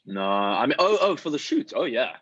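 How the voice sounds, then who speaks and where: A man chatting casually as an actor giving tips, rambling and halting as he thinks aloud. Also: mid-range voice, big pitch swings, a bit bright, breathy edge, dry recording, lo-fi sound. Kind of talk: casual chat.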